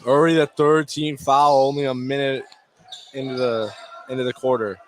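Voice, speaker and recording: A man's voice: mid-range voice, level tone, moderate pace, slight background noise, rough recording. A man calling live basketball play-by-play for radio in short, clipped phrases. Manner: clipped, fragmentary calls of the action. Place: indoor high school gym, courtside.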